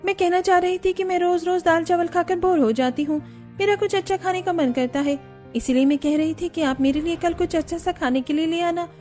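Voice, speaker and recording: A woman voicing an emotional young daughter-in-law in a cartoon story, eager, pleading and quick to take offence. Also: extremely high pitch, fairly even intonation, a little mellow, soft background music, pitched above the performer's own voice. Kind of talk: Hindi cartoon story dialogue.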